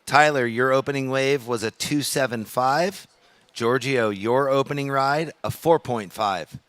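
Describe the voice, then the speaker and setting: A man's voice: medium pitch, a little slow, fairly bright tone, clear, resonant tone, dry recording. A man doing live commentary and announcing scores and priority at a surf contest. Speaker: webcast commentator and beach announcer.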